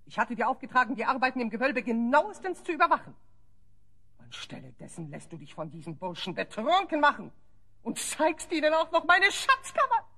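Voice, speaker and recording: A haughty lady ruler from a fantasy radio play. Her voice is roughened and swoops widely as she scolds and commands. She sounds theatrically indignant and used to being obeyed. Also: high-pitched, bright, forceful tone, exaggerated voice. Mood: angry, commanding. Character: imperious, furious lady ruler of a misty forest fortress. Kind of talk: German audio play acting.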